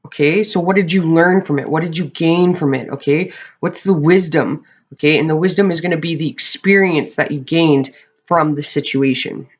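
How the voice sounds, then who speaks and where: A tarot reader with a hoarse, crackling voice from losing it, giving a casual monthly reading to camera and often checking in with the viewers. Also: mid-range voice, muffled recording. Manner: chatty, often checks in with "okay?"; clears throat often.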